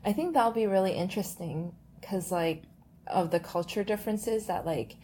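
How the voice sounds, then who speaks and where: A woman's voice: mid-range voice, moderate pace, mellow, a little hiss or hum. A young woman, chatting in an informal, giggly way as a podcast co-host. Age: young adult. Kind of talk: casual chat.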